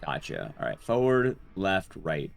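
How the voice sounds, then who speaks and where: A man's voice: sits low, huge pitch swings, a little slow, vocal fry, soft background music. A man giving casual, rambling live game commentary with chatty, jokey asides while streaming a speedrun.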